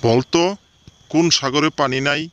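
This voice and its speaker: A man's voice: fairly high pitch, measured pace, lightly acted. A man voicing a stern, commanding schoolteacher in a comedy cartoon. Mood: quizzing. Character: stern male schoolteacher quizzing his cheeky pupils.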